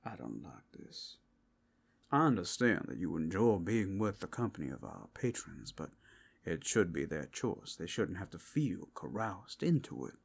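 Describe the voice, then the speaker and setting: A man playing a grumpy, protective werewolf in a fantasy audio roleplay, speaking in a gruff, grudging, blunt way. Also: low-pitched, big pitch swings, unhurried, exaggerated voice. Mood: disapproving, reluctant.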